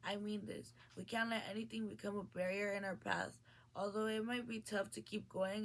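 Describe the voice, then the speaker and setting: Earnest teenage girl with a gravelly edge. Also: medium pitch, slight background noise, poor audio. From Mexico. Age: late teens. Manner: earnest, reads with small restarts. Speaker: graduating high school student. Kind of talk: reading a prepared personal speech.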